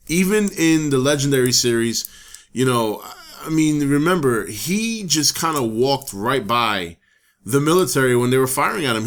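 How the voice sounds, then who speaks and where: A hoarse man talks casually to his viewers as host, his voice sitting at an ordinary middle pitch. He thinks out loud and circles back on his points. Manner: rambling, thinking aloud with restarts. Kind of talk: casual solo talk to camera.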